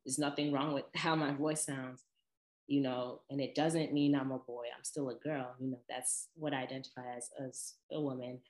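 Deep-voiced young woman, chatty and echoey. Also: moderate pace. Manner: chatty, laughing, expressive asides. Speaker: vlogger. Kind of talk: casual personal storytelling to camera.

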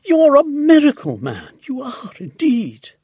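A storybook narrator playing an excitable, doting lady who exclaims and gushes over her pet. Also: mid-range voice, unhurried, slightly rough, dry recording, clean studio sound, voice pushed up, exaggerated voice. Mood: proud, delighted. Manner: exclamatory, gushing. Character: excitable, gushing, doting middle-aged lady tortoise owner.

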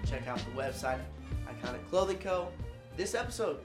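A man's voice: high voice, lively intonation, normal speed. A young man hosting a podcast in a casual style, sounding nasally and congested with a mild cold. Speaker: podcast host. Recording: roomy echo, music underneath.

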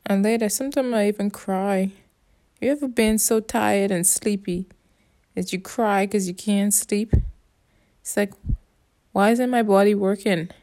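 Bahamian lady venting to camera, cusses a lot, blunt and fed up, mid-pitched voice. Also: fairly even intonation, unhurried, long pauses, darkish tone. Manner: blunt, profane, exasperated ranting. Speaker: vlogger. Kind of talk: casual rambling monologue.

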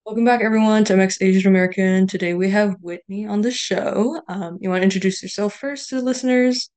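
A woman's voice: mid-range voice, fast, darkish tone. A woman hosting a podcast in an easygoing way, asking guests open questions. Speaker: podcast host. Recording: music playing behind.

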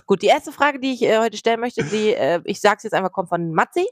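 Scratchy-voiced woman, very lively intonation. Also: medium pitch, fairly quick, no room sound, studio quality. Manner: playful, teasing. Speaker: podcast co-host. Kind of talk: casual chat.

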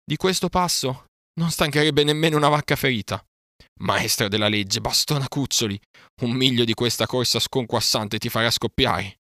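A man's voice: medium pitch, normal speed, pushed and bright, dry recording, clean studio sound, pitched above the performer's own voice, natural voice. A man voicing a furious panther in a storybook, roaring scornful, impatient reproaches.

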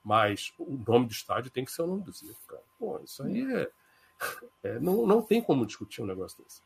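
A Brazilian man in his fifties argues his point with emphatic, skeptical conviction. His voice is mid-pitched with a husky edge. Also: big pitch swings, fairly quick. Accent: Brazilian Portuguese. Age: 50s. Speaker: podcast co-host. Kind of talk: casual podcast chat.

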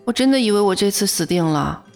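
A woman's voice: medium pitch, lively intonation, quick pace, darkish tone, natural voice. An actress playing a modest young female office worker in an audio drama, in first-person narration and dialogue. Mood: puzzled. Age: young adult. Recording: music bed behind the voice.

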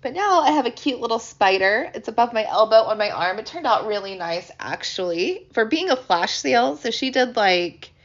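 Chatty woman host with a raspy sinus-sick voice. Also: fairly high pitch, moderate pace, few pauses. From American Midwest. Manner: chatty, animated, laughs easily. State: hoarse from a sinus infection. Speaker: podcast host. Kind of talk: casual chat. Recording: slightly dull lo-fi recording.

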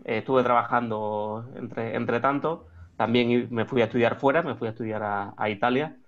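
A man's voice: medium pitch, fairly even intonation, normal speed, highs cut off. A man in his thirties with an Extremadura Spanish accent, a guest talking in an interview, hesitant, with many restarts and fillers. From Extremadura, Spain. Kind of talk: remote interview conversation.

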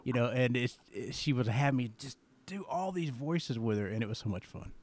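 A man's voice: medium pitch, normal speed. A man in his forties hosting a casual interview, telling jokey stories in a chatty way. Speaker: podcast host.

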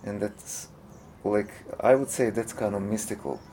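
A man's voice: lowish voice, steady tone, unhurried, dark tone, slight background noise. A man from Croatia, speaking English as an in a hesitant interview, full of fillers and restarts. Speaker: interview guest, monk. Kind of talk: casual interview talk.

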